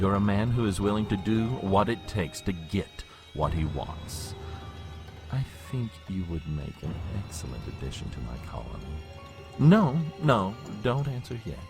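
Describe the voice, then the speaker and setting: A man's voice: low voice, heavily acted. An actor playing a suave, menacing vampire lord in an audio drama, mock-polite and theatrical, drawing out his taunts.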